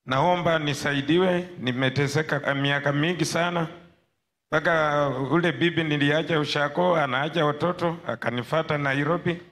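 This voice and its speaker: A man's voice: on the high side, steady tone, normal speed, fairly bright tone. A man in his forties with a Kenyan accent giving an earnest testimony to a preacher. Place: large church hall. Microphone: handheld microphone held for him.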